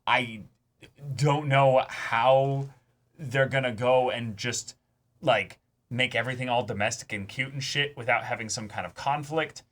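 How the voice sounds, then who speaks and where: A man co-hosting an anime reaction show in casual banter, excitable, swearing freely and repeating words for emphasis. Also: medium pitch, a little slow. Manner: casual profanity.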